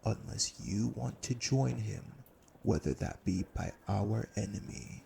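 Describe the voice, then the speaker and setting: An actor playing a cold, cruel, domineering vampire elder brother in an audio drama, speaking deliberately with gravelly menace. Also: male voice, on the low side, animated, background noise, exaggerated voice. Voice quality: gravelly, creaky. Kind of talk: dramatic audio roleplay acting.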